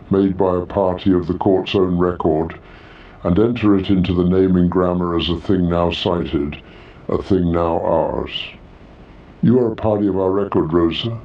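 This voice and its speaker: Casting: ancient alien court elder played by a man, very low, grave and formal, muffled echoing sound, audio story. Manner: formal, deliberate, weighty phrasing. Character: very old, solemn alien court senior, measured and formal. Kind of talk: scripted audio drama character. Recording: roomy, muffled and distant.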